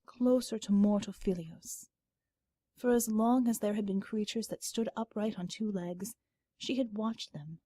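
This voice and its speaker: A woman narrating a dramatic story in a solemn, measured, scripted style. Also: medium pitch, warm tone, husky, no room sound. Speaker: audiobook narrator. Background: music.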